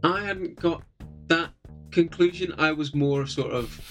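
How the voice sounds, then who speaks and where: A man with a soothing voice, talking in a relaxed, friendly way as a podcast guest. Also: fairly high pitch, measured pace, faint music behind. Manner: relaxed, friendly, gently humorous. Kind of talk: casual podcast chat.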